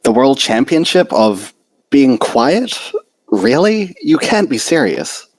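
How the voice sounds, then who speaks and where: A man's voice: medium pitch, sing-song, takes it slowly, no echo, pitched above the performer's own voice. A man voicing a skeptical, bookish female unicorn pony with an incredulous, defensive manner.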